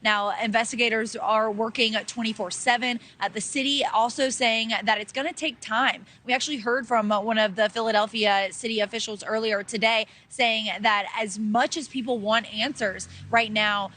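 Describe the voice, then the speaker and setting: A woman's voice: on the high side, steady tone, moderate pace, few pauses, bright, forceful tone. A woman delivering a live news report as a field reporter. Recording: outdoor live report with background noise.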